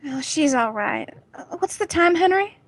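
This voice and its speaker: A woman playing a nervous, evasive suburban wife and mother in an amateur radio play, with a hesitant, halting delivery. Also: high voice, lively intonation, no echo.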